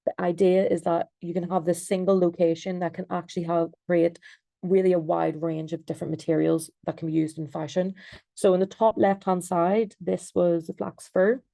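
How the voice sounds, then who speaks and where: A woman's voice: lowish voice, level tone, moderate pace, gravelly, mellow, no echo. A young Irish woman giving a presentation over a video call, hesitant, with many fillers and restarts. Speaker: designer and researcher.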